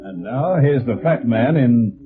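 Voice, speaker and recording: Mystery show announcer, male: measured, dramatic delivery over music, muffled old radio sound. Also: medium pitch, lively intonation, natural voice. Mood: portentous.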